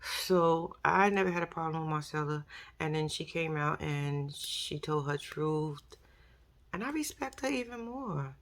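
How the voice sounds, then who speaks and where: A woman with a New York accent talks casually on her vlog, her voice raspy and gravelly and sitting fairly low. She is chatty and slangy, and she acts out what other people said. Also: measured pace. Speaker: YouTube commentary host.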